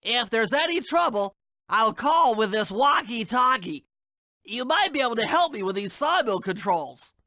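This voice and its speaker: A man voicing a small, brainy, fussy male turtle in a video game, with a high, pinched, precise delivery. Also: very animated, moderate pace, pushed and bright, no room sound, clean studio sound, heavily acted. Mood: earnest, scheming. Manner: precise, wordy, bookish. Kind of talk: video game character voice acting.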